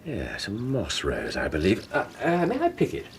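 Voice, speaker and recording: An actor playing a brilliant, eccentric Victorian detective in a radio drama, with an English accent and a theatrical, musing manner. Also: male voice, lowish voice, huge pitch swings, moderate pace, background noise. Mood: dreamy, philosophical. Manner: theatrical, musing, punctuated with hesitations and hums.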